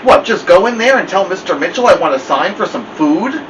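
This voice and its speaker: Indignant teenage big brother acted by a man, slangy storybook dialogue, highish voice, loud background hiss. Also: lively intonation, brisk. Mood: grudging. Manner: whiny, slangy protesting and bossing. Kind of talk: storybook read-aloud dialogue. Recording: muffled lo-fi, distant mic, loud hiss.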